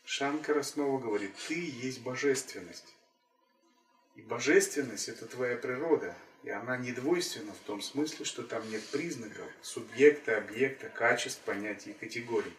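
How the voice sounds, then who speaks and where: A man speaking as a spiritual teacher in a calm, measured way, returning again and again to the same phrases. His voice sits at an ordinary pitch. The recording is roomy and a little distant from the mic. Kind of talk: spiritual talk.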